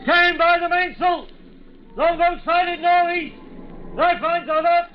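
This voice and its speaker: Casting: bellowing deckhand, extremely high shouted calls, vintage radio drama, muffled sound. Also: male voice, fairly even intonation, unhurried. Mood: urgent, commanding. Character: bellowing lookout sailor barking orders. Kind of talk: 1940s radio acting. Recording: muffled, faintly noisy old radio broadcast.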